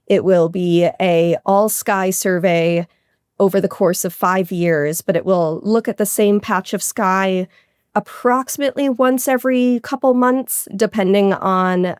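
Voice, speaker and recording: A woman with a fairly low voice speaks as a scientist guest in an interview. The sound is very dry, with no room echo. She explains technical material informally and keenly, adding asides as she goes. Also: fairly even intonation, unhurried, darkish tone, clean tone. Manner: keen, informal, technical with frequent hedging asides. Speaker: interview guest, research astronomer.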